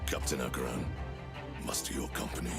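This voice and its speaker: An actor playing a stern, authoritative space marine chapter master in a video game, giving curt orders. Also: male voice, a bass voice, flat delivery, brisk, creaky, over loud music. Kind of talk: cinematic video game acting.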